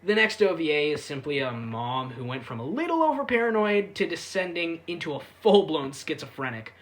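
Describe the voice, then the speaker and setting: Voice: medium pitch, a bit bright. A reviewer giving a casual, sarcastic recap with irreverent, sweary delivery. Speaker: YouTube anime reviewer.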